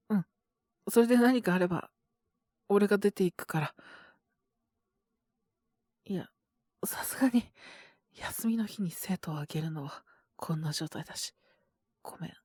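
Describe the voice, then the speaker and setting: Woman voicing a slow, weary, sick young male teacher. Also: mid-range voice, a little mellow, dry recording, clean studio sound. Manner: hesitant, mumbling, trailing off with many pauses. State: feverish, weak, coughing. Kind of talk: Japanese boys' love situation voice drama.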